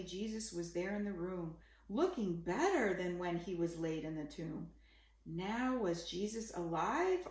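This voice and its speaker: A woman's voice: lowish voice, darkish tone, reverberant. A woman reading a rhyming children's Bible story aloud in a simple, dramatic way, as if to a young child.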